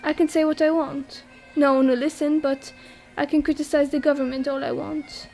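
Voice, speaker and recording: A young woman from France speaks in a high, wry voice, mocking herself and laughing lightly as she goes. Faint music plays beneath her monologue in this audio drama. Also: level tone, normal speed, a little mellow. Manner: wry, self-mocking, laughs while talking. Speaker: exile interviewed about a disaster.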